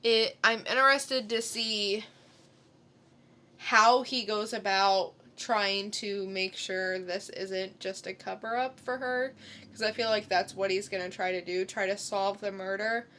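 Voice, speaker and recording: A woman chats casually as a podcast co-host. Her voice is bright and forward, at a middling pitch. She takes her time, often halting as she thinks aloud. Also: fairly even intonation, a little hiss or hum. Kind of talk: casual comic book chat.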